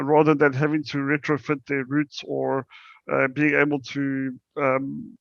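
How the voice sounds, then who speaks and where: A young man with a South African accent giving a conference presentation, halting and full of fillers and restarts. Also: a little high, gravelly, fairly bright tone, no room sound, dull audio. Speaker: startup presenter.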